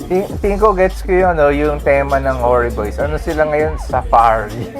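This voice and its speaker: A man's voice: on the high side, normal speed, a crowd in the background. A Filipino man in casual, joking reaction chat as a fan commentator. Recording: loud music behind the talk. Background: concert music.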